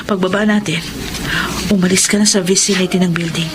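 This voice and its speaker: An actress playing a bold, determined monster hunter in a Filipino radio horror drama, speaking in a resolute, commanding way. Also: female voice, lowish voice, fairly even intonation, brisk, background noise, natural voice. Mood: grave, resolute.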